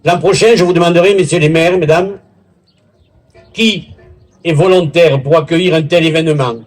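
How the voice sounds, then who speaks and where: A man giving a formal public speech as a local official, in a deliberate, theatrical oratorical manner with pauses and a husky voice. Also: fairly high pitch. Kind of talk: formal public speech at a village festival, with literary recitation. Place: village festival in Bouisse, addressing a gathering.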